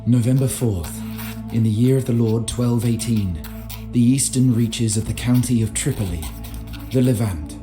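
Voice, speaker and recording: A man's voice: medium pitch, moderate pace, over music, lightly acted. An actor playing a solemn medieval knight who narrates his campaign journal in a video game. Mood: solemn, recounting. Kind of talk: dramatic scripted game narration.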